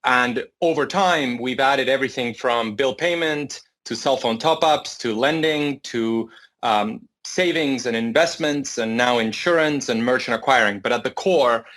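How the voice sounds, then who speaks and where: A man's voice: medium pitch, measured pace, fairly bright tone. Argentine man explaining, mid-range voice. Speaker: startup founder, interview guest. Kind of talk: interview answer, explanatory.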